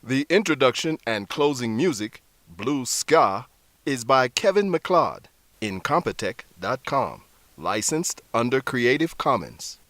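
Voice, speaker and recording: A man reads scripted educational narration in a deliberate, clearly worded, instructional manner. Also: medium pitch, big pitch swings, over loud music. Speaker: narrator and English teacher.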